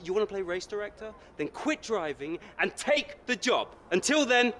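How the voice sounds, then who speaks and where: A man's voice: high-pitched, big pitch swings, normal speed, a bit bright, a little hiss or hum. An actor playing an arrogant, combative young male racing driver in a video game cutscene, sarcastic and dismissive. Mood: defiant.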